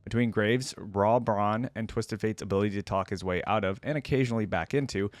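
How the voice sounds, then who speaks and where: A man's voice: lowish voice, brisk, no room sound, studio quality. A man hosting a reaction video, reading game lore aloud and breaking off for casual comments.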